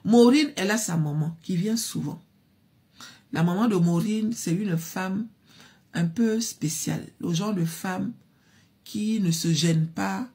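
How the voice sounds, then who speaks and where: Woman, casual storytime narration, chatty, husky, mid-pitched. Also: a little mellow. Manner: chatty, addresses listeners as "mes chéris".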